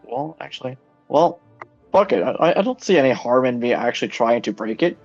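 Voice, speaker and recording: A man of middle pitch chats jokingly as a tabletop player, with very animated intonation. The recording is a little dull, with faint music behind. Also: a little fast. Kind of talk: casual, joking game chat. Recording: slightly dull sound, faint music underneath.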